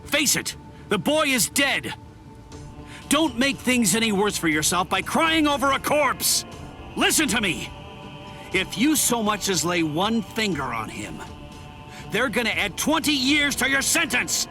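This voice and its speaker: A man's voice: very high-pitched, big pitch swings, unhurried, gravelly, sharp tone, soft background music, exaggerated voice. An actor playing a brash, hot-tempered young swordsman in an anime game, talking in a blunt, cocky way. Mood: harsh, heated. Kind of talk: English anime game dub acting.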